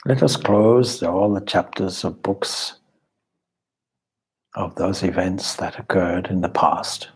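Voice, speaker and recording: A man leading a guided meditation as a spiritual teacher, calm and measured, with deliberate pauses and a husky, breathy voice. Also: deep voice.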